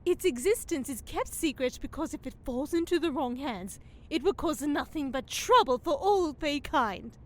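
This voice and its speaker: A woman's voice: high-pitched, expressive, normal speed. A woman playing a prim, knowing forest fairy, theatrical and disapproving, in a fantasy comedy audio drama.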